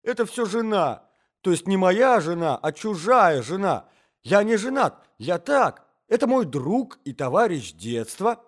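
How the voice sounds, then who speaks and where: A man's voice: on the high side, expressive, normal speed, a little mellow, lightly acted. A man playing a hapless, flustered fellow in a story who pleads obsequiously and babbles in a whine. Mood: desperate.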